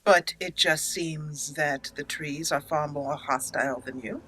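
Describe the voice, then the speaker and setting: A woman's voice: deep voice, a little slow, bright, forceful tone, husky, low-quality recording. A woman hosting a gaming video, giving let's play commentary and roleplaying her character's lines.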